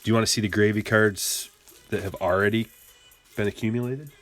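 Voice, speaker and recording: A very deep-voiced man from Michigan riffs playfully with his chat while opening packs of cards. He rambles and jokes in a loose, unscripted way, with faint music playing softly behind him. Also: steady tone, brisk. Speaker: card-break streamer.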